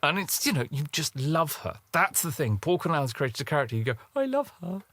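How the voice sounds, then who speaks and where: A man's voice: a little high, very animated, fairly quick, a bit bright, dry recording. A man talking casually in a documentary interview, effusive and acting out his own reactions.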